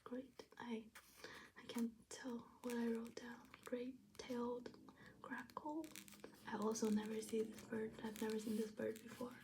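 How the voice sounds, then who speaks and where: A young woman from China, whispering softly as she flips through her travel journal, with many ums and restarts. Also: a little high, little pitch movement, unhurried, background noise. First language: Chinese. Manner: soft whisper with fillers and restarts.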